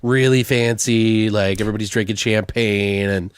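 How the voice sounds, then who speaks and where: A man with a fairly low voice chats loosely as a podcast co-host, joking and swearing as he throws out ideas. The sound is close and dry. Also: steady tone, normal speed, smooth, no echo. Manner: foul-mouthed, joking. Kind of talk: casual brainstorming chat.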